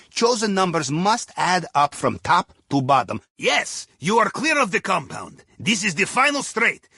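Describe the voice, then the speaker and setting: A jovial Russian submarine captain for a video game. His voice is bright and husky, recorded close and dry. He briefs his crew in a thick Russian accent, wry and conspiratorial, with folksy asides. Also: sits high, no echo, exaggerated voice. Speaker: mission guide giving instructions. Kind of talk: video game mission dialogue.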